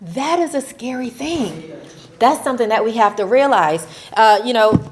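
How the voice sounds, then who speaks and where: Animated woman speaking on a panel. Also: a little high, moderate pace. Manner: animated, laughs often, ends points with "right?". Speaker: faith-based advocacy panelist. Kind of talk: conversational panel talk. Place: convention session room.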